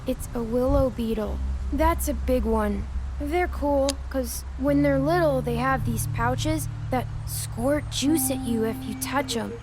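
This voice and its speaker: A woman's voice: sits high, takes it slowly, noisy background. A woman voicing an innocent, wistful young boy in a video game, curious and anxious, with a childlike, earnest manner. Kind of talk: video game character acting. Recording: music behind the voice.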